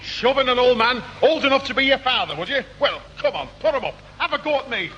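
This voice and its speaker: A man's voice: very high-pitched, expressive, quick pace, sharp tone, slight background noise, full character voice. An actor playing a hot-tempered, pugnacious old grandfather with a Scottish accent in a 1960s radio comedy. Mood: belligerent. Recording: muffled, echoey 1960s radio recording.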